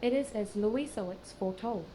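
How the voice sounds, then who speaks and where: A woman's voice: medium pitch, dark tone, slight background noise, lightly acted. An actress playing an earnest, scholarly female sage in a fantasy game, pensive and formally spoken. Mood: solemn reflection. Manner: measured, pensive, archaic phrasing. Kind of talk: scripted fantasy game dialogue.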